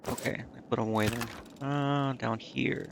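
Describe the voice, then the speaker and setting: A man's voice: mid-range voice, steady tone, normal speed, creaky, background noise. A man streaming a video game, thinking aloud in a casual, offhand and indecisive way. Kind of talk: casual live gameplay commentary, thinking aloud.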